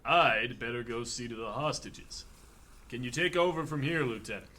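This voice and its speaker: Police captain, radio drama male actor: calm authority, mid-pitched, crisp bright tone. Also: normal speed, close to an everyday voice. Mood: businesslike.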